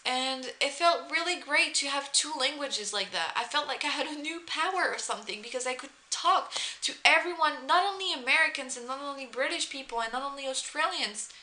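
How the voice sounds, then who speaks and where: Young French-accented woman, casual vlog chat, fairly high, bright voice. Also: lively intonation. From France. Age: young adult. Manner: chatty, many "um" pauses and restarts. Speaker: vlogger, former exchange student. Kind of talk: casual talk to camera.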